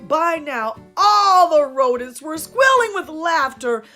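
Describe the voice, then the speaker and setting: High-pitched, animated woman storyteller for children. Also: soft background music. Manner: deliberate, emphatic phrasing for children. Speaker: storyteller and host of children's read-alouds. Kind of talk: expressive picture-book read-aloud and talk to children.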